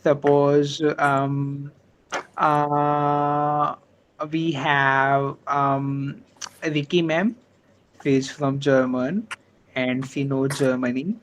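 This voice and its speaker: Slow, hesitant man on a video call. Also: medium pitch, flat delivery, dull audio, a little hiss or hum. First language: Hindi. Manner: hesitant, many fillers, polite. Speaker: meeting organizer. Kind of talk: online meeting discussion.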